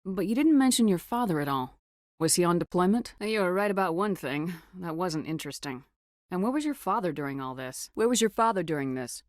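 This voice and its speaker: A woman's voice: lowish voice, animated, a little fast, no room sound, lightly acted. An actress playing a tough, resolute female military officer in a video game, speaking with firm, clipped authority and dry wit. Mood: dry, questioning. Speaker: starship commander in a science fiction game. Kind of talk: video game voice acting, dramatic dialogue.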